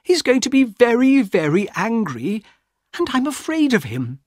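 A man voicing a frightened young woman who pleads with her brother in a high, strained voice. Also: huge pitch swings, a little slow, a little mellow, no room sound, clean studio sound, exaggerated voice. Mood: distressed.

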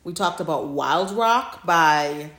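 A woman's voice: sits low, expressive, slow pace, a bit bright. A woman co-hosting a podcast in casual fan chat, recorded in an echoey room. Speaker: podcast co-host.

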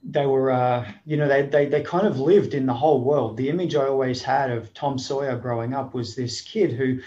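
A middle-aged Australian man speaks in a thoughtful, searching way, often restarting his sentences as he recounts his story. His voice sits at an ordinary pitch for a man. The recording sounds a little dull and muffled. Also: moderate pace, warm tone. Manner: thoughtful, frequent restarts and 'you know'. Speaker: guest. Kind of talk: reflective storytelling in conversation.

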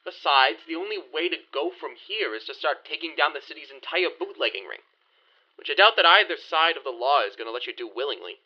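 A man's voice: on the high side, expressive, fairly quick, highs cut off. An actor playing a shrewd, guarded 1920s bootlegging man with slangy old-time gangster talk, in a period audio drama. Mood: skeptical, warning.

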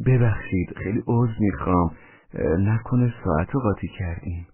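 Casting: man playing timid, courteous male visitor, soft-mannered and hesitant, husky, thin narrow-band audio. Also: sits low. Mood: apologetic. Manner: polite, halting. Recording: tinny band-limited radio-like sound.

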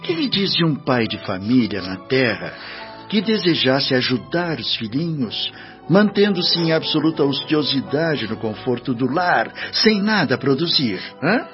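Radio drama role: paternal Brazilian spirit minister, gently reproving, widely swinging intonation, slightly muffled sound over music. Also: male voice, medium pitch, big pitch swings. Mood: gently admonishing. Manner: measured, paternal, admonishing, with rhetorical questions. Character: kindly but stern male spirit minister, gently reproving.

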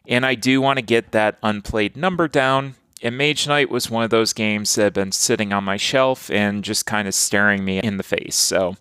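A man's voice: lowish voice, normal speed, hardly any pauses, bright, forceful tone, smooth, no room sound. A man talking through a board game review in a plain, earnest, matter-of-fact way. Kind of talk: casual solo review.

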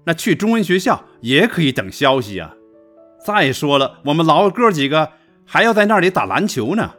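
A man's voice: on the high side, big pitch swings, normal speed, fairly bright tone. A man playing a good-natured, grumbling middle-aged professor father in a radio drama, colloquial and exclamatory. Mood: eager. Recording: music under the voice.

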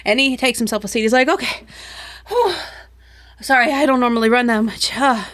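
A woman's voice: fairly high pitch, a little fast, a bit bright, voice pushed up, close to an everyday voice. A woman voicing a flustered, naked middle-aged male wizard who stammers apologies.

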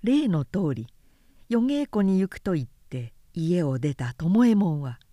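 A woman reading a literary story aloud as a narrator, in a measured and deliberate way. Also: lowish voice, very animated, warm tone, no room sound, studio quality.

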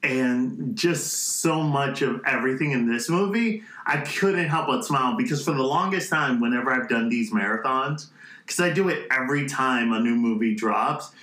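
Bright-voiced man in an echoey room. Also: medium pitch, moderate pace. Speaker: podcast co-host. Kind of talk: casual chat.

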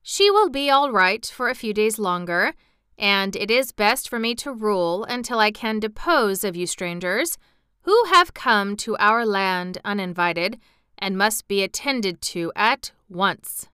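A woman's voice: medium pitch, lively intonation, a little slow, pushed and bright, smooth, no echo, clean studio sound. Woman doing a cold haughty plant-folk prince. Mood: cold, imperious. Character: cold imperious vegetable prince, male.

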